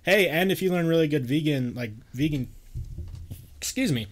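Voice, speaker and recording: A man's voice: fairly high pitch, animated, normal speed. Laughing man in crude banter. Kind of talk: rowdy casual chat with friends.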